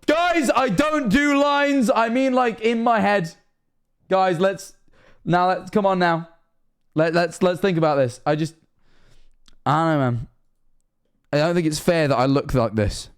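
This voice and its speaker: A man's voice: sits high, unhurried, pauses often, smooth. A Scottish man of 21 streaming casually, rambling and self-mocking, swearing often.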